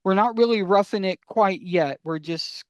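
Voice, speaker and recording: A man's voice: high voice, normal speed, slight background noise. A man co-presenting a podcast in casual explanatory chat, wry and rambling, repeating words for emphasis.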